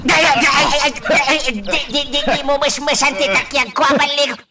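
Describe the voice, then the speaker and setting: A woman's voice: sits high, normal speed, over a noisy crowd, close to an everyday voice. A woman playing a whining, reluctant underling protesting in a comedic radio drama, full of exclamations. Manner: whiny, exclaiming. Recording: loud background noise.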